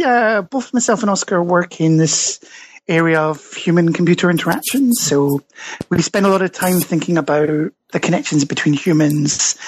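A Scottish man being interviewed on a podcast, explaining his research thoughtfully with many fillers and restarts. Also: fairly high pitch. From Scotland. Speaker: guest author and researcher.

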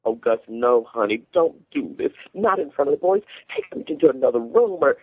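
A man's voice: mid-range voice, expressive, moderate pace, husky, no echo, narrow, tinny audio, pitched above the performer's own voice. A man voicing a distraught Southern wife and mother who pleads and whines in rural dialect. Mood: alarmed.

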